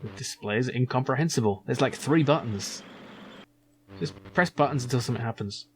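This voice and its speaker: A man's voice: medium pitch, a little fast, slight background noise. A man giving casual, rambling let's play commentary as a gaming channel host, musing hesitantly as he goes.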